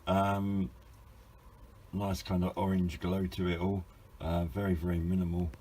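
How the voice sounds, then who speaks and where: A man's voice: sits low, little pitch movement, measured pace, warm tone, breathy edge. A British man giving an informal, hesitant and understated hobby product review, rambling a little as he explains. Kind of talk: informal unboxing and review narration.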